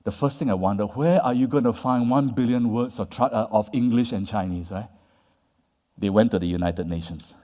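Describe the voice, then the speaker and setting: A man with a fairly low, mellow voice gives a lively conference talk, leaning hard on key words. He keeps checking in with the audience with "right?" and "yeah?". His voice carries the long reverb of a large hall. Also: moderate pace. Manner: conversational, tags points with "right?" and "yeah?". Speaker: conference speaker. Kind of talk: lecture.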